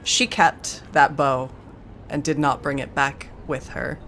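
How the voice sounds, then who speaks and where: A woman's voice: very deep voice, fairly even intonation, slow, fairly bright tone, a little hiss or hum. A woman player describing her role-playing character in the third person, in a plain storytelling style.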